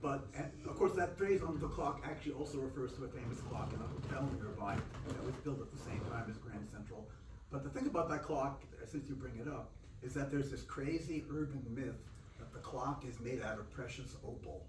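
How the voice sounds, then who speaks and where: A man's voice: medium pitch, brisk. Pedantic man explaining, distant and echoey. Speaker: audience member commenting at a book event. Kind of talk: informal unscripted remarks. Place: indoor event room. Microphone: distant room microphone. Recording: echoey, noisy room from far off.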